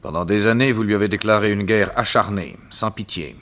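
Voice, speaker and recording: A man's voice: lowish voice, brisk, a bit bright, natural voice. An actor playing a cold, vengeful doctor who mocks the detective he has trapped, in a French radio drama. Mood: menacing.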